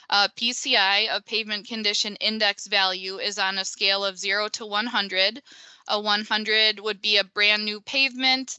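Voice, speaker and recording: A woman in her thirties with a Minnesota accent talks through a webinar over a video call. Her voice is very bright and crisp with a dry, close sound. She speaks in an even, flat tone, full of ums and restarts. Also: mid-range voice, no echo. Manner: conversational, many fillers and restarts. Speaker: pavement engineer presenter. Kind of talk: webinar presentation.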